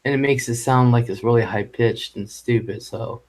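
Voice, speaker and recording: Male live-stream host, mid-range voice, halting and rambling delivery. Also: mid-range voice, fairly even intonation, a little mellow. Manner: halting and rambling, with many fillers. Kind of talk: casual live chat. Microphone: home microphone.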